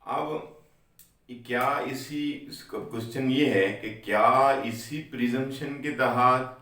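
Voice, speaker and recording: A man with a Pakistani accent explains the law like a lecturer, deliberately, repeating and rephrasing his points. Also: mid-range voice, steady tone, pushed and bright. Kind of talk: explanatory law lesson mixing Urdu with English legal terms. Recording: very echoey room, distant microphone.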